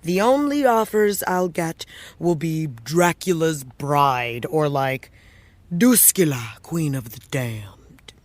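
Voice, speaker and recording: A woman's voice: low-pitched, animated, slow, no room sound. A woman playing a jaded, self-doubting American television actress in an audio drama, talking casually and wryly with trailing thoughts. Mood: anxious, wry. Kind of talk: naturalistic audio drama dialogue.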